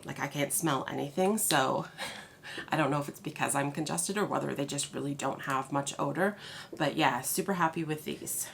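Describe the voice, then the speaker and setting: A woman's voice: deep voice, normal speed, gravelly, a bit bright, slight background noise. A congested woman chatting casually through a product haul, with many fillers and restarts. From Canada. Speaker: haul video host.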